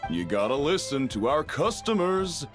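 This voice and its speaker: A man's voice: fairly high pitch, big pitch swings, normal speed, fairly bright tone, soft background music. A man voicing a jovial middle-aged father and chef in a video game, folksy, hearty and teasing. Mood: amused, teasing.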